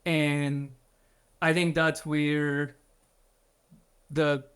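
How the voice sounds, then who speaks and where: A man's voice: fairly high pitch, level tone, drawn-out words, a bit bright, clear, resonant tone. Man telling his story. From Bulgaria. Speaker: guest. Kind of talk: casual interview storytelling.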